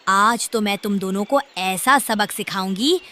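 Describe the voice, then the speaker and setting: A woman's voice: medium pitch, unhurried, fairly bright tone, a little hiss or hum, close to an everyday voice. A woman voicing a shrewd, bossy old grandmother bird in a children's cartoon. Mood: furious scolding.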